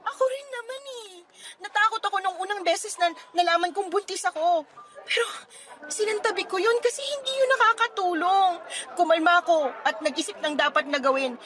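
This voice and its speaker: A young pregnant woman in a Filipino radio drama, speaking in a very high voice. She is wounded and reproachful, pleading with a close friend in a Filipino accent. Soft music sits behind her. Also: a little fast. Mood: tearful, pleading. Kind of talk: radio drama acting in Tagalog.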